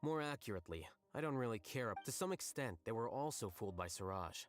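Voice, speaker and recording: A man voicing an aloof, blunt, logical young scholar in a video game, precise and deadpan. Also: medium pitch, fairly quick, soft background music, close to an everyday voice. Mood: detached, explaining.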